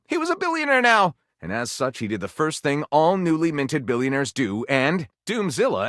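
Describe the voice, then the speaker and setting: A man's voice: on the high side, fairly bright tone, no echo, studio quality. A man playing a theatrical, tongue-in-cheek narrator of pulpy detective tales in a video game, arch and savoring every dramatic turn.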